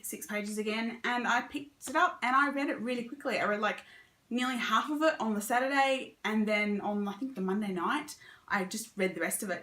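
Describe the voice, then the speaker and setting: A woman's voice: fairly high pitch, bright, forceful tone, reverberant. A woman giving a chatty, casual book review as a vlogger. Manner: chatty, conversational.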